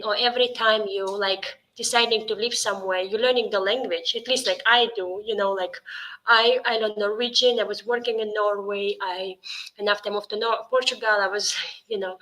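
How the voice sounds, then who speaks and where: A woman speaks as a guest in a relaxed interview. Her voice is bright and forward, at an ordinary middle pitch. She talks in loose, halting English, stopping often to fill gaps with "like" and "you know.". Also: low-quality recording. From Russia. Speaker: podcast guest. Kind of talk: casual interview conversation.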